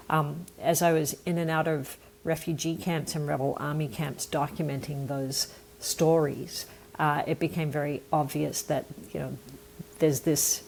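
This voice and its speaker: An Australian woman with a low voice and a gravelly edge speaks as an interview guest. She tells her story in an earnest, thoughtful way, with a faint hum of room noise behind her. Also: darkish tone. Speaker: nonprofit founder, podcast guest. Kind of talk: conversational interview answer.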